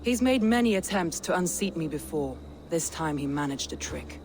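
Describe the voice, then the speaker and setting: A woman's voice: lowish voice, expressive, normal speed, a little mellow, a little hiss or hum, natural voice. A woman voicing a proud, resolute Viking lord and war leader in a video game, commanding, guarded and earnest. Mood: bitter, determined. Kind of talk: video game dramatic acting. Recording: music behind the voice.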